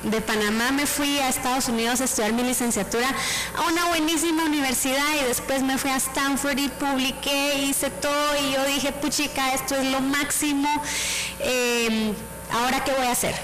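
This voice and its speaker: A woman from El Salvador tells her own story on a university panel in a candid, lively way. Her voice is high. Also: poor audio. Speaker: panelist professor.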